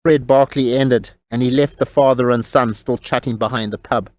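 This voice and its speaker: A man's voice: mid-range voice, steady tone, normal speed, darkish tone, no echo. A man reading scripted narration for an audio drama in a storybook style.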